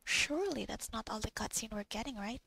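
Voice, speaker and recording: A woman's voice: on the high side, lively intonation, a little fast, creaky, pushed and bright. A young woman giving casual gameplay commentary, musing and thinking aloud as she plays. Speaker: game streamer. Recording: game music behind.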